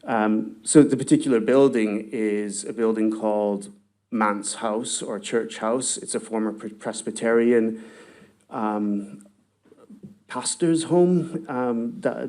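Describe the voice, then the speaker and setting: A man with a somewhat low voice gives a research presentation to a conference audience. He talks in a halting way, filling gaps with ums and restarting his sentences. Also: a little mellow. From not from Galway. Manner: hesitant, many ums and restarts.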